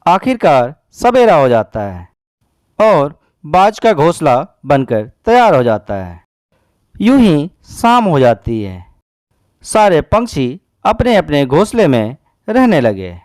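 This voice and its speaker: A man's voice: fairly high pitch, very animated, slow pace, darkish tone, no echo, clean studio sound. A man narrating a scripted children's cartoon story.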